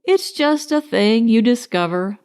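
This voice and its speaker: A woman's voice: fairly high pitch, expressive, unhurried, darkish tone, clear, resonant tone. A woman voicing a confident, carefree young boy in a storybook, careless and a little authoritative.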